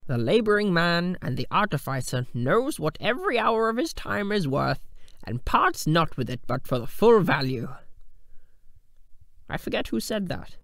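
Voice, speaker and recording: A man voicing a quirky, eager little creature inventor for a fantasy game, bookish and animated. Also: sits high, moderate pace, creaky, no echo, clean studio sound, full character voice. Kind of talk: fantasy character voice demo.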